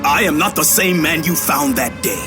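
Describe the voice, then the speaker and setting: An actor playing a tormented, vengeful warrior in a video game, with a grim, intense, declamatory delivery. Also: male voice, on the high side, moderate pace, close to an everyday voice. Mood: defiant. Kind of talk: video game dramatic acting. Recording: music under the voice.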